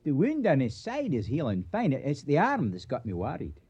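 An actor playing a nervous, reluctant frontier doctor who stammers in a soft, husky voice in a western radio drama. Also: male voice, a little high, huge pitch swings, moderate pace, full character voice. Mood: worried. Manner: hesitant, stammering. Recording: muffled old radio recording.

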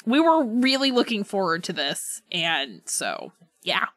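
A woman's voice: medium pitch, big pitch swings, a little slow, fairly bright tone, no room sound. A woman co-hosting a podcast in a casual book chat, often breaking her sentences to correct herself and prone to laughter. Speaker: podcast co-host.

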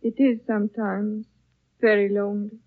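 An actress playing a reserved, gentle young woman of a secluded community, with careful formal phrasing in a 1930s radio drama. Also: mid-range voice, flat delivery, normal speed, natural voice. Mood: wistful. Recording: thin, narrow-band old radio broadcast.

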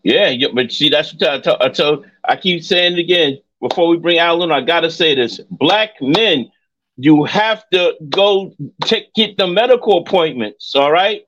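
A man's voice: fairly high pitch, moderate pace, fairly bright tone, lo-fi sound. A man co-hosting a talk show, earnestly repeating his warnings to make a point. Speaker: co-host. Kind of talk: casual talk.

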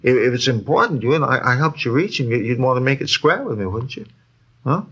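An actor playing an evasive middle-aged barfly in a 1950s radio drama, hesitant and repetitive, with a gravelly voice. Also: male voice, medium pitch, sing-song, fast, fairly bright tone. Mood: sly, wheedling. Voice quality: gravelly, husky.

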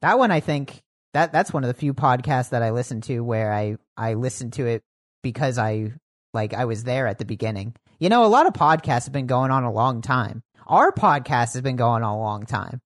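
A podcast co-host chatting casually, asking questions with halting restarts. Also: medium pitch, animated. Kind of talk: casual chat.

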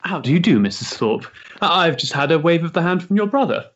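Period drama: actor as restless young gentleman politician, polite halting delivery, lightish pitch, dull recording. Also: sing-song. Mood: flustered, polite. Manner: hesitant, polite, mannered upper-class diction. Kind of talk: period stage-play acting.

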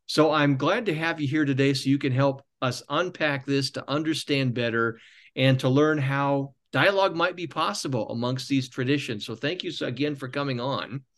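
A man's voice: mid-range voice, normal speed. A man hosting a podcast interview, setting up his questions in a long, rambling, self-correcting way. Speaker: podcast host.

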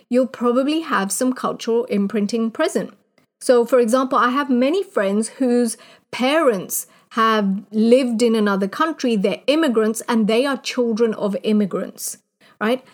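A woman from Kenya, a life coach giving an online mini training, who talks straight to the listener in an earnest, guiding, motivational way. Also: fairly high pitch.

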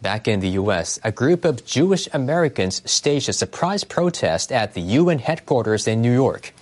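A man's voice: mid-range voice, very animated, normal speed, darkish tone. A man delivering scripted news narration as a reporter.

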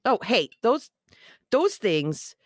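A woman's voice: fairly high pitch, sing-song, slow pace, no room sound, clean studio sound, heavily acted. A woman playing an awkward, wisecracking young man in a comedic table read, with a sarcastic, self-deprecating manner. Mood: wry.

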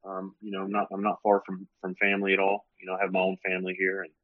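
A man's voice: deep voice, monotone, fast, dry recording, phone-quality sound. A man in his forties with a Southern US accent, from South Carolina, telling folksy, joking stories as an interview guest. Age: 40s. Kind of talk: casual interview chat.

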